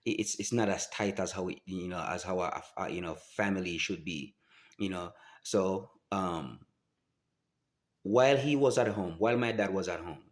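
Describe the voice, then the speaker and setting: A man in his forties with a very deep, low voice speaks straight to camera about his own life. He talks earnestly and haltingly, stopping and restarting his sentences often. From Jamaica. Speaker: vlogger. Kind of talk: personal testimony to camera.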